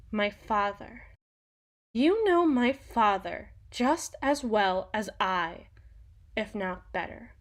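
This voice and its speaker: A woman playing a haughty, sharp-tongued young empress in a dramatic audio roleplay, imperious and theatrical, with formal old-fashioned diction. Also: on the high side. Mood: betrayed, indignant.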